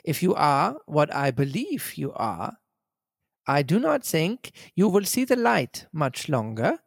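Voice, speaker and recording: A man voicing a cold, calm villain. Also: on the high side, gravelly, husky, no room sound, clean studio sound, in a large hall, pitched above the performer's own voice, exaggerated voice. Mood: menacing. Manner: calm, measured, threatening. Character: cold, menacing, clever male villain.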